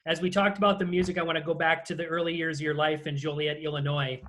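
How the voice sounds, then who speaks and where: A man with a somewhat high-pitched voice hosts a video-call interview. He speaks casually as he sets up and asks his questions. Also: level tone, fast, a bit bright, slight background noise. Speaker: interview host. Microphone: video call microphone.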